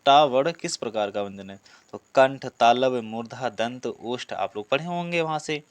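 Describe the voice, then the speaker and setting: A man speaks as a teacher in a dry recording with little room sound. His voice sits at a medium pitch. He reads out questions, explains the answers and repeats key terms for his students. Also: expressive, moderate pace, no room sound. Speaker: Hindi grammar teacher for police exam students. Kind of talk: exam-prep lesson, reading questions and explaining answers.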